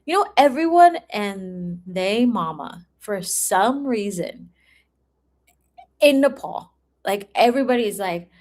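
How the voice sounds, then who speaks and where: A woman's voice: medium pitch, animated, slow pace, a little mellow. A woman chatting casually and informally with viewers as she hosts a live tarot reading. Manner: chatty, informal, often breaking into giggles. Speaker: tarot reader hosting a livestream. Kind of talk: casual livestream chat with viewers.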